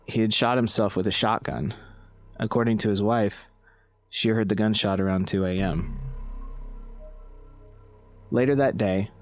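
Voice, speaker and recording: A man reading a true-story account as scripted narration. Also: on the low side. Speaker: story reader.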